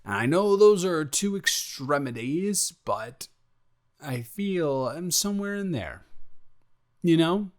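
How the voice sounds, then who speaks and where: Man playing a slow, bashful young male roommate. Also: fairly high pitch, expressive. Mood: nervous, earnest. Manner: hesitant, rambling, halting delivery. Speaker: audio roleplay performer. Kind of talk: improvised romantic roleplay, intimate casual chat.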